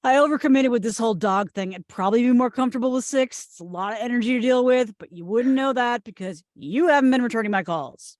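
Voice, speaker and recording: A woman in a comedic script read, playing a blunt, pushy, oddball friend with swaggering confidence and emphatic, deadpan delivery. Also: on the high side, quick pace, few pauses, no echo, studio quality, natural voice. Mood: brash, bossy. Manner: emphatic, forceful, deadpan boasting. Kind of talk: screenplay table read, comedic acting.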